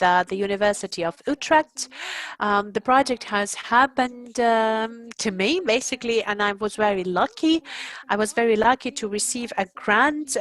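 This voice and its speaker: A woman's voice: mid-range voice, fairly bright tone. Earnest woman. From Ukraine. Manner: earnest, pauses to search for words. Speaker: artist and designer giving a presentation. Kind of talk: prepared talk to an audience.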